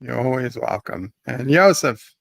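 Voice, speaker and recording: A man with a gravelly, fry-laden voice hosts a relaxed livestream hangout. He thanks chat members by name and chats easily with his panel. The sound is dry and close to the mic. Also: medium pitch, unhurried, no room sound. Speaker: host.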